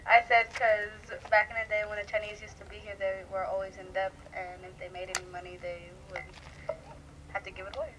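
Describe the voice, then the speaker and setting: Fast-talking female student, very noisy. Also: mid-range voice, little pitch movement. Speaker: student in audience. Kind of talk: casual answer from the audience. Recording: distant mic, very noisy room.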